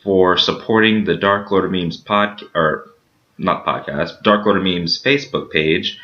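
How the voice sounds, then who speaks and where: A man hosting a casual podcast, rambling through shout-outs and promos with lots of fillers. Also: low voice, a little slow. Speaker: podcast host. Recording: dull lo-fi sound.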